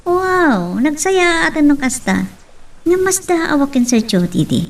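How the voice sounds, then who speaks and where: Woman acting animated, high-voiced teasing young Ilocano woman. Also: measured pace, recorded from a distance, full character voice. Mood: teasing, surprised. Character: Sandra, lively teasing young woman being courted. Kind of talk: Ilocano romance audio drama acting.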